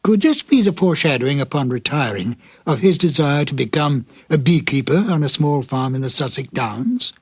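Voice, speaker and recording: A man hosting a program with scripted narration, dramatic and deliberate like a storyteller, in a slightly husky voice. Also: mid-range voice, lively intonation, no room sound. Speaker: host introducing old radio episodes.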